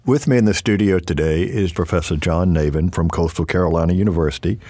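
A man's voice: low-pitched, fast talker, mellow, no echo. A man hosting a radio interview in a studio, conversational and friendly, leading the talk with long, easygoing questions. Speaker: radio show host, interviewer.

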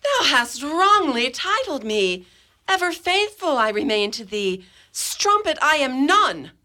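A devoted, anxious wife in a Shakespearean radio play, played by a woman. She speaks slowly and earnestly, with a bright, middling-pitched voice. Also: very animated, exaggerated voice. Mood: pleading, distressed. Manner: measured, earnest archaic delivery. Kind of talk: amateur Shakespearean radio drama acting.

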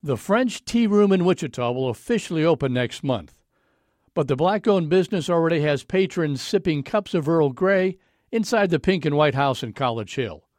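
Public-radio host, male, higher register, close dry studio read. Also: animated, normal speed, darkish tone, dry recording. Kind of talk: scripted news narration.

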